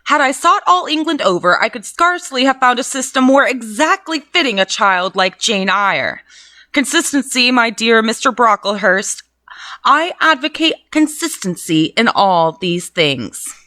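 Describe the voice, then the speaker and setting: A woman's voice: on the high side, expressive, measured pace, bright, forceful tone, no echo. A woman reading aloud the lines of a cold, haughty female guardian in a storybook reading, with a curt and disdainful manner.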